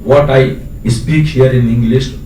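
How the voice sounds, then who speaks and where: A man's voice: mid-range voice, fairly even intonation, unhurried, dark tone. A man from Bihar with an Indian accent, a non-native English speaker, asking a long, earnest question from the floor with many hesitations. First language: local dialect of Bihar. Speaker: audience member asking a question. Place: lecture hall. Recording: echoey hall, distant mic, faint noise.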